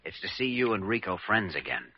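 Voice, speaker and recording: An actor playing a calm, kindly young doctor in an old radio drama. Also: male voice, on the low side, a little fast, a little hiss or hum. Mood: grave, persuasive. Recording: dry, muffled vintage radio recording.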